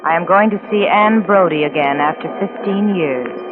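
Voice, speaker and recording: A woman's voice: fairly low pitch, normal speed, lightly acted. Actress as a haunted woman over old radio music. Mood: haunted, fearful. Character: haunted woman dreading reunion with a past acquaintance. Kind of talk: 1940s radio drama first-person narration. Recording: muffled old radio broadcast, music behind.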